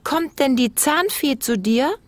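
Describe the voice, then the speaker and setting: Woman putting on an excited, high-pitched little boy. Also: a little slow. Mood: excited curiosity. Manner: excited, childlike. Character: cheerful, curious five-year-old boy.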